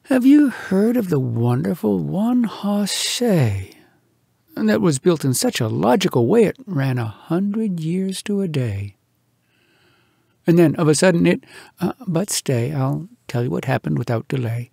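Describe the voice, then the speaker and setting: A man performs humorous verse like a folksy storyteller. His voice is fairly high for a man and husky, with gravelly creak at the edges. He draws out words and leans theatrically into sudden emphasis. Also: sing-song, a little slow, dark tone, dry recording, clean studio sound. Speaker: storyteller, audiobook narrator. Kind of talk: animated dramatic reading of humorous verse.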